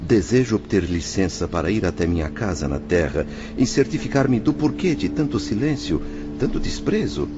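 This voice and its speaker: An actor playing an anxious, ill-tempered former merchant in a radio drama, speaking in pompous, ornate formal phrases. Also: male voice, low-pitched, very animated, moderate pace. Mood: anxious, pleading. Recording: muffled band-limited audio with music behind.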